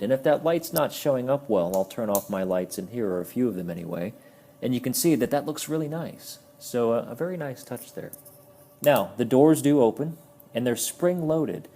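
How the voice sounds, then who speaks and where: A man's voice: medium pitch, mellow. A man giving a casual, explanatory toy review, friendly in tone and sometimes stumbling over his words.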